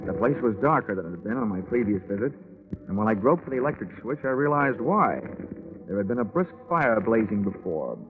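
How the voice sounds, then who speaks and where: A man's voice: fairly low pitch, big pitch swings, a little fast, soft background music. A man playing a wisecracking, unflappable American insurance investigator, dry and hard-boiled, in an old radio detective drama. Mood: wry narration. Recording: tinny narrowband vintage radio recording.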